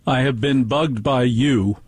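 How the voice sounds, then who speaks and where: A man's voice: mid-range voice, takes it slowly, loud music behind the voice. A man hosting a radio talk show, ranting in a rambling monologue and mocking others in put-on voices.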